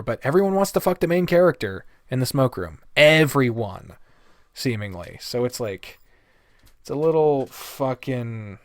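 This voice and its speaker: A man's voice: medium pitch, dry recording, studio quality. A man giving a casual, opinionated review rant in a blunt, exasperated, swear-heavy way. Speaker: video host.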